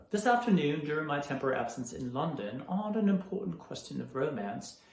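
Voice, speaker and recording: A man playing an indignant, fussy Victorian gentleman with a pompous, formal manner in a stage comedy monologue. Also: mid-range voice. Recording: echoey room.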